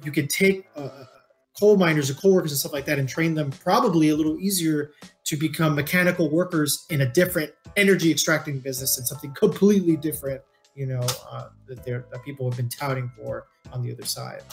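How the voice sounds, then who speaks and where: A man's voice: on the high side, steady tone, fast talker, vocal fry, soft background music. A man in his thirties co-hosting a discussion, explaining things casually and informally with free use of swearing, recorded on a headset in a room. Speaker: co-host of a read-along book discussion show. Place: indoor room, remote video call.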